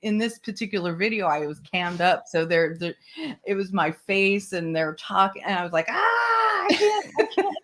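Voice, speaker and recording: A woman hosting a casual online book club stream, talking in a rambling, animated way and laughing often. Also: medium pitch, normal speed. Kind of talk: casual livestream chat.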